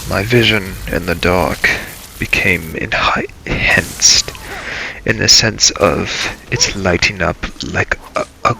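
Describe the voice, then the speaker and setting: A man playing a brooding vampire adventurer, narrating his dark journey in a dramatic, halting, solemn way for a game role-play. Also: low-pitched, vocal fry, a little hiss or hum, heavily acted, low-quality recording. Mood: grave, measured. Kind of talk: improvised in-character role-play narration over gameplay.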